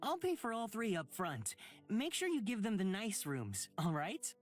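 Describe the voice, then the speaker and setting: A woman's voice: medium pitch, huge pitch swings, moderate pace. A woman voicing a breezy, sardonic rich young man in a visual novel game. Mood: upbeat, casual. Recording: music under the voice. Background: game music.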